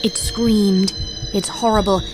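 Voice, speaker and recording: A woman reading a dark fanfic aloud in a measured, deliberate storytelling manner. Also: medium pitch, fairly even intonation, over loud music, rough recording. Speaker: fanfic reader. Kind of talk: dramatic story narration.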